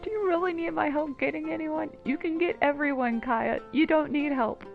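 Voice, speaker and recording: A woman's voice: sits high, moderate pace, creaky, phone-quality sound. A woman giving casual, playful and informal reactive commentary while playing a video game. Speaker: gamer commentating on a playthrough. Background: game music.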